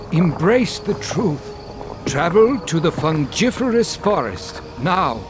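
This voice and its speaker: Weary sage creature, dark fantasy game; slow, deliberate delivery with widely swooping pitch. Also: male voice, background noise, exaggerated voice. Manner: solemn, deliberate, oracular. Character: weary, grave wise creature guiding a girl.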